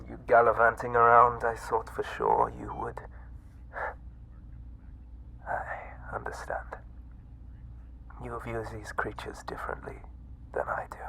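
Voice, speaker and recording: A man voicing a frail, obsessive mad scientist with a breathy, halting delivery in an ASMR horror roleplay. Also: medium pitch, a bit bright, rough recording. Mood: secretive, persuasive. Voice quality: breathy, whispery. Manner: halting, theatrical. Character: weak, obsessive mad scientist doctor running a monster containment site.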